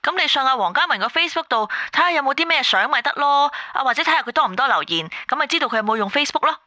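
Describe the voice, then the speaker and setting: Radio drama best friend: young Hong Kong Cantonese woman, blunt and prodding, high animated voice. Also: rapid-fire. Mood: blunt, urging. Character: brisk, nosy, blunt young female friend.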